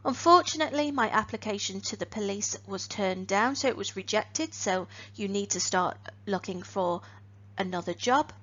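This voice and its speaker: A woman teaching phrasal verbs in an online lesson. She speaks in a clear, deliberate way and lifts each new phrasal verb. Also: mid-range voice, lively intonation, fairly bright tone, highs cut off. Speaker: English teacher.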